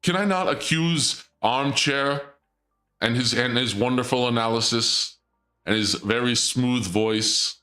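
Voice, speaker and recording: A young man streaming and chatting casually, rambling and joking in a theatrical dark-lord persona. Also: mid-range voice, measured pace, faint music behind.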